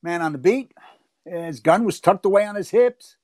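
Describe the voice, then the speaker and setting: An actor playing a hesitant, conciliatory police detective, plain-spoken and halting, in 1940s radio drama style. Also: male voice, high-pitched, lively intonation, a little mellow, no room sound, studio quality. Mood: matter-of-fact.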